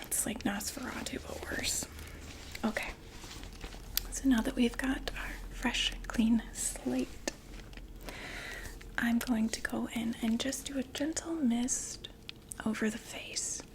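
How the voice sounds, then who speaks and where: A young woman playing a female vampire door-to-door makeup saleswoman in an ASMR roleplay, whispering slow, gentle, chatty sales talk. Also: a little high, steady tone, poor audio. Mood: soft, soothing.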